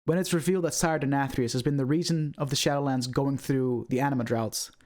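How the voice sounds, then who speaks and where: A man's voice: mid-range voice, steady tone, quick pace, a little mellow. A man hosting an online video, giving scripted commentary on video game lore in a presenter's style.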